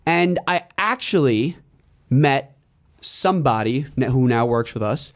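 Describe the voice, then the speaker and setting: A young man in his twenties talks on a casual podcast, with a middle-pitched voice. He tells his stories with lively energy and acts out the lines of other people as he goes. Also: unhurried. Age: 20s. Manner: animated storyteller, acts out quotes. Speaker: DJ and podcast co-host.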